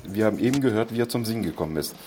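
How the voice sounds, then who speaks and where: A man's voice: lowish voice, expressive, rapid-fire, dark tone, faint music behind. A middle-aged man hosting a radio interview, asking questions formally and politely, with hesitant fillers. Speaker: radio host.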